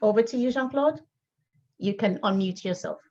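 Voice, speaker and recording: A woman's voice: fairly high pitch, moderate pace, darkish tone. A woman from Nigeria co-hosting an online panel, introducing guests in an upbeat, enthusiastic way. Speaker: co-host. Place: video call. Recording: dull lo-fi call audio.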